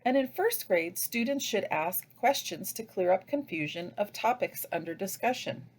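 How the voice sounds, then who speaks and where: A woman delivering scripted instructional narration as a teacher trainer, with deliberate, didactic phrasing. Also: mid-range voice, lively intonation, breathy edge.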